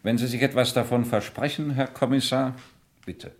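A man's voice: medium pitch, steady tone, moderate pace, natural voice. A man playing a dutiful army major in a vintage radio crime drama, speaking with formal military courtesy. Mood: puzzled, guarded. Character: dutiful army major defending his fellow officers' honour.

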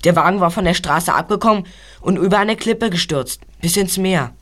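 An actor playing Bob, a studious boy detective, reporting in a factual, measured storytelling manner in an audio drama. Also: sits high, fairly bright tone, slight background noise. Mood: informative.

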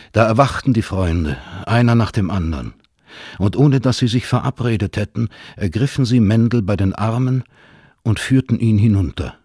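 A male narrator with a deep, husky voice reads literary prose. His storytelling is measured and sober, and the recording is very dry, as in a radio play. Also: darkish tone, no echo.

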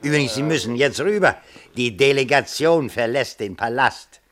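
An actor playing a dry, dismissive and world-weary gatekeeper in a radio drama, speaking colloquially. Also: male voice, mid-range voice, animated, moderate pace, a bit bright, lightly acted. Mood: cynical, knowing. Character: world-weary, cynical male gatekeeper, dryly discouraging. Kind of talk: 1970s German radio drama acting.

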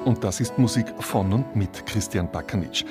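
A man's voice: lowish voice, normal speed. A man presenting on radio, reading a scripted back-announcement. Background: plucked guitar music.

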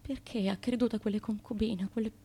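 An actress playing a fearful, haunted wife of an ancient Greek tyrant, intense and repetitive, in a theatrical radio drama. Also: female voice, mid-range voice, steady tone, quick pace, warm tone, husky. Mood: fearful, hurt.

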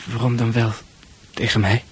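A shy teenage boy with a very breathy, husky voice at a middling pitch. He is gentle and awkward, talking softly and haltingly in an intimate radio play manner. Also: level tone. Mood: shy, probing. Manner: hesitant, soft-spoken, awkward.

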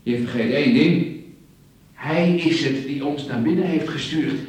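A man's voice: on the high side, measured pace, darkish tone, lightly acted. A man playing a restless, scornful, conniving accomplice in a radio play, with a sardonic, exclamatory delivery. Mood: scornful, fed up. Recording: echoey, distant, faintly hissy radio play.

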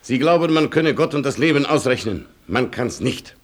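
A man's voice: mid-range voice, lively intonation, moderate pace, natural voice. An actor playing a fervent, insistent man with a mystical streak in a German radio play, declamatory and emphatic. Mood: impassioned, ominous.

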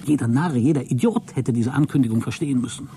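An actor playing a prudish, wounded and coldly scheming space traveller, speaking a formal, wordy log in a radio drama. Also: male voice, mid-range voice, fast, dark tone, a little hiss or hum, lightly acted. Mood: cold, resolved. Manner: formal, self-righteous, wordy. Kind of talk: radio drama monologue, spoken log entry.

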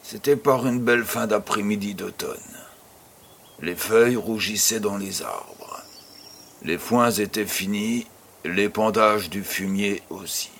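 Over clearly audible background noise, a low-pitched old farmer , acted by a man, speaks as a fond grandfather. He is teasing and unhurried, spinning tales for a child in an audio drama. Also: animated, a bit bright, heavily acted. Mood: storytelling. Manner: affectionate, teasing, unhurried storyteller. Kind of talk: French-language audio drama acting.

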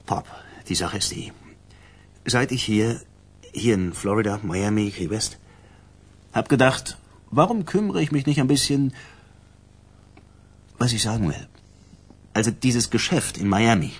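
An actor playing an inarticulate, pushy gangster son in a radio crime drama, halting and aggrieved as he pleads with his father. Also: fairly low pitch, expressive, slightly rough. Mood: halting, nervous.

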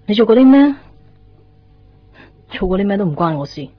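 A woman's voice: medium pitch, very animated, normal speed, no room sound. A woman playing a jealous, prickly young woman in a Cantonese radio drama, with a Hong Kong accent. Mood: jealous, agitated. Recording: dull 1990s radio recording, music behind.

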